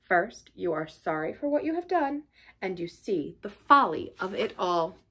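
A woman's voice: fairly low pitch, big pitch swings, a little slow, sharp tone, husky, exaggerated voice. A woman voicing a grave, stern but kindly male badger in a storybook, with a formal, oratorical manner. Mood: solemn, gratified.

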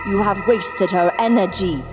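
An actress voicing a solemn, condescending otherworldly entity that feeds on chaos. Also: female voice, mid-range voice, unhurried, over music, lightly acted, poor audio. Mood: menacing. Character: cold ancient entity, female, condescending.

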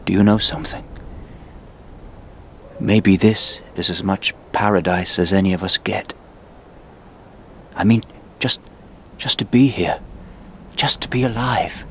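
A man's voice: fairly low pitch, measured pace, long pauses, a bit bright, a little hiss or hum. A man playing a haunted, wistful knight in a radio drama, muttering reflectively to himself. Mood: brooding. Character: haunted, wistful knight brooding alone at night. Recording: faint outdoor cricket ambience.

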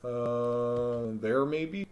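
A man streaming a video game live, thinking aloud in a relaxed way with frequent ums. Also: medium pitch, extremely slow. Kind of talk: live let's-play commentary.

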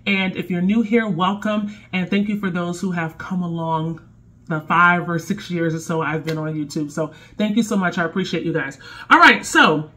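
A woman in her thirties talks casually and directly to her viewers, her voice stuffy from a cold. She sounds relaxed and conversational, recorded in a home kitchen from a camera a little way off. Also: on the low side, normal speed. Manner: chatty, speaks directly to viewers. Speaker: firearms gear reviewer. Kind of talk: casual product review.

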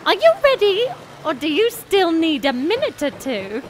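A woman's voice: extremely high pitch, very animated, normal speed, a little hiss or hum. A woman playing an eager, chirpy little female mole rescue worker in a comedic audio drama.

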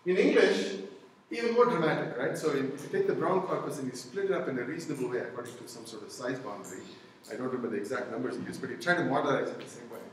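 A man's voice: medium pitch, lively intonation, quick pace, few pauses, far from the mic, slight background noise. A middle-aged man whose first language is Tamil, giving a conversational university lecture, often checking in with the audience. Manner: conversational, many "you know" and "okay?" check-ins. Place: lecture hall with blackboard and projector. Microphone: far room camera. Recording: echoey lecture hall, picked up from afar.

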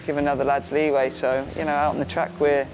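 A man's voice: a little high, little pitch movement, fast talker, music in the background, low-quality recording. A young man from England, a jockey, chatting casually in an interview and leaning on "you know".

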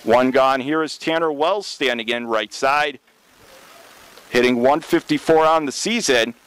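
An American male baseball announcer calls the game live for radio. His voice is smooth and resonant, sitting at a medium pitch. He describes play in a measured, detailed way, filling in with statistics as he goes. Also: fairly bright tone. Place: press box at a baseball stadium.

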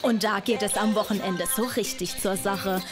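A woman's voice: medium pitch, steady tone, normal speed. A woman reading a scripted sports news voice-over with an upbeat, punchy delivery. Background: loud backing music.

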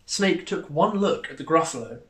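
A man's voice: a little high, expressive, moderate pace, reverberant. A young man reading a children's story aloud expressively. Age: young adult. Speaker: storybook reader. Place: small indoor room, on a sofa.

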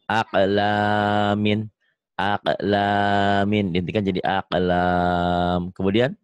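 A man with a Sundanese accent teaching Quran reading over a video call, drawing out syllables slowly, correcting and praising a student. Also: lowish voice, completely flat delivery, smooth, no echo. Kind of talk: online reading lesson.

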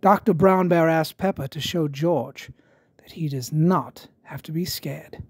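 A storybook narrator giving an expressive, dramatic read-aloud for children. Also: mid-range voice, a little slow, husky, no room sound. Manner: expressive, theatrical.

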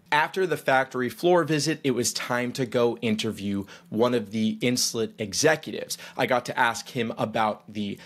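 A man in his thirties hosting a vlog, explaining a device in an upbeat, lightly joking way, recorded in a small studio room on a boom-arm mic. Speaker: vlog host. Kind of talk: explainer narration.

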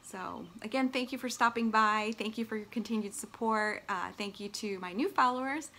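A woman's voice: fairly high pitch, moderate pace, pushed and bright, a little hiss or hum. A middle-aged woman giving a casual, chatty, upbeat vlog announcement straight to camera at home. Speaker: vlogger and long-distance hiker. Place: small furnished living room at home, indoors.

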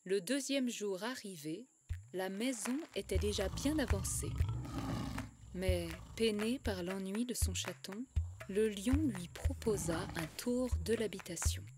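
A woman narrating an animated short as a poetic storybook tale, in a solemn, lyrical and measured way. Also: medium pitch. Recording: music under the voice. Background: music.

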